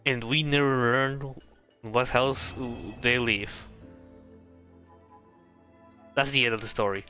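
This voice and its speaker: A man's voice: mid-range voice, fairly even intonation, slow pace, bright, forceful tone, faint music behind. A man doing casual, playful game commentary as a streamer, repeating words as he reacts. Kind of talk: casual playthrough commentary, reading some dialogue aloud.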